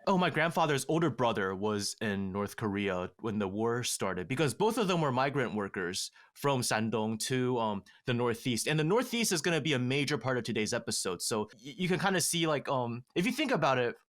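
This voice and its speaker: A man in his thirties telling a family story in casual podcast talk, measured and halting with frequent fillers, recorded on a studio mic in a small treated room. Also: mid-range voice, big pitch swings. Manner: measured, many "um" fillers and restarts. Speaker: podcast guest. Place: small home studio with acoustic foam panels. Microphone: studio mic with headphones.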